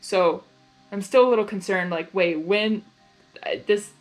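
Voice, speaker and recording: A woman reads a story aloud in an expressive, informal way. Her voice sits at an average pitch and her manner is giggly and casual. Soft music plays behind her. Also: unhurried, rough recording. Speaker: fan fiction reader.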